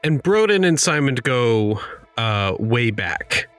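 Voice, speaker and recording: A man's voice: mid-range voice, sing-song, slow pace, a bit bright. Man narrating his game character. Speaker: player in a tabletop game. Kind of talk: casual narration of own character. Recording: music playing underneath.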